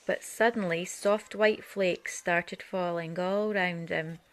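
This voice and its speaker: A woman's voice: lowish voice, fairly even intonation, slow. A woman narrating a children's picture book aloud as a storyteller.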